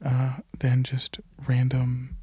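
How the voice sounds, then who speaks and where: Male ASMR creator, very breathy and near-whispered, low-key flat delivery, halting casual rambling, dry close mic. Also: no echo, highs cut off. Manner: hesitant, halting, informal.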